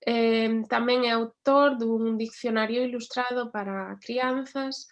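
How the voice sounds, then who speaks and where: A woman moderates an online conference, introducing a speaker over a video call. Her voice sits fairly high, and she speaks slowly and haltingly, pausing between phrases. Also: fairly even intonation.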